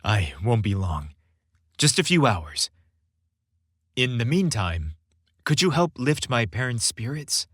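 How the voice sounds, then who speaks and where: A man's voice: mid-range voice, very animated, slow pace, long pauses, fairly bright tone, breathy edge, no room sound, clean studio sound, heavily acted. A man voicing an earnest, determined young man, Santa's son, speaking in a confiding way in a story. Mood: soft, wry.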